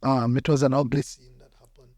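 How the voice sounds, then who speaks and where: A man's voice: medium pitch, little pitch movement, brisk, a little mellow, no room sound. A man from Belize with a Belizean accent, a pastor telling personal stories as a podcast guest, emphatically repeating phrases for effect. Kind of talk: personal storytelling in conversation.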